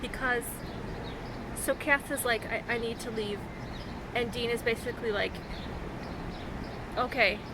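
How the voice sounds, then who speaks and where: A woman talking casually in a reaction vlog, with a halting, expressive fangirl delivery and dramatic pauses. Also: fairly high pitch, very noisy. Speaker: vlogger sharing reactions to fan fiction.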